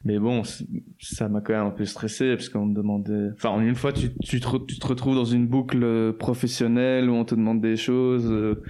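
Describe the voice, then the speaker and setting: A man's voice: medium pitch, flat delivery, a little fast, dark tone. A man, chatting casually as an interview guest, loose and slangy with lots of fillers and restarts. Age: 20s. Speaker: guest musician.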